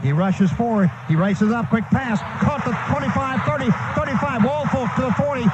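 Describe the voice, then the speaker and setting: A high-voiced American man calls a football game live on the radio. He describes each movement in short, running bursts. The broadcast sounds dull and lo-fi, with clear noise behind him. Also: normal speed. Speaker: play-by-play radio announcer. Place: stadium broadcast booth.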